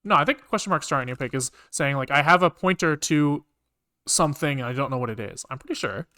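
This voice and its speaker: A man with a slightly high, bright voice that comes through clean and clear. He is live-streaming as a programmer, thinking out loud in an informal way and often muttering to himself. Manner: informal, mutters to self, swears casually. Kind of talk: casual think-aloud live coding commentary.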